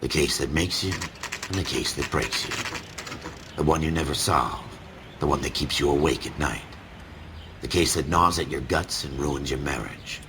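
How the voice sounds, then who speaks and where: An actor playing a world-weary, hard-boiled narrator in a noir video game, with a brooding, deliberate, gravelly delivery. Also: male voice, rumbling low. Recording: clear background ambient noise.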